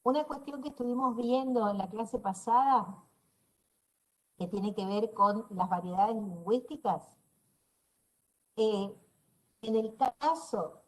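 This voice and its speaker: A woman's voice: a little high, unhurried, long pauses, poor audio. Woman lecturing with a northeastern Argentine accent. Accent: northeastern Argentine Spanish. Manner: explanatory, checks in with "¿sí?" often. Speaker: university lecturer. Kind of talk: online class lecture. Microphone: video call.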